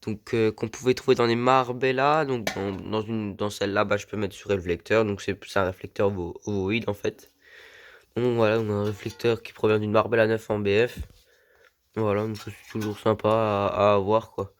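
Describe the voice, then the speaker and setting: A man with a hoarse voice from a cold, casually showing off old lighting gear he has collected, filling his talk with many small fillers. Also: fairly low pitch, level tone, normal speed, slight background noise. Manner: rambling, many fillers like voilà and euh. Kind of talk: casual show-and-tell vlog.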